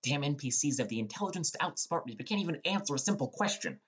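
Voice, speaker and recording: A man's voice: lively intonation, quick pace, a bit bright, husky. A man voicing a nervous, wisecracking teenage boy who is a gamer hero in an audiobook. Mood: annoyed. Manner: high-strung, nervous bargaining. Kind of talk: audiobook character acting.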